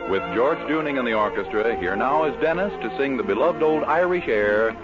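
A man announcing a 1940s radio program in a smooth, upbeat sales delivery. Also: medium pitch, expressive, a little fast, loud music behind the voice. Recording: dull lo-fi 1940s radio broadcast.

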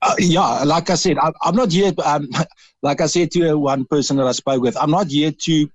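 A man's voice: a little high, moderate pace, no echo. A man from South Africa with a South African accent, calling in to a radio interview and talking earnestly, with frequent halts and restarts. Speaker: radio caller.

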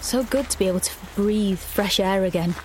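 An actress playing a despairing, isolated young rebel in a dystopian audio drama, narrating her ordeal in an introspective, halting way. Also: mid-range voice, a little mellow, slight background noise, lightly acted, poor audio. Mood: wary relief. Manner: introspective, halting, self-questioning.

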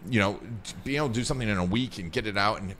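A man hosting an interview, asking questions in a lively, chatty way. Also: on the low side, very fast, a little hiss or hum. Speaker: interview host. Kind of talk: conversational interview.

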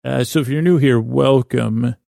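Creaky-voiced man rambling soothingly. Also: medium pitch, dark tone, no echo, studio quality. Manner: meandering, halting, self-deprecating, lulling. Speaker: podcast host. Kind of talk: rambling bedtime-story podcast monologue.

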